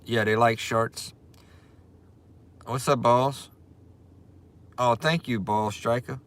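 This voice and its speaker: A man's voice: mid-range voice, takes it slowly. Cajun man chatting on a livestream. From Louisiana. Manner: relaxed, chatty, reads and greets viewers' comments. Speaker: livestream host. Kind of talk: casual livestream chat.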